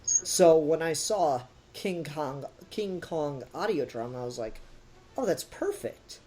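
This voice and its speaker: A man's voice: fairly high pitch, expressive, slow pace, dark tone. A man co-hosting a review podcast, talking casually and critically in an emphatic, opinionated way.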